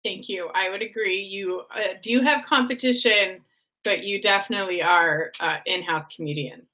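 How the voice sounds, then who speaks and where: A woman's voice: mid-range voice, normal speed, bright, forceful tone, rough recording. A woman hosting an online webinar, moderating casually and encouraging the other speakers. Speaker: webinar host.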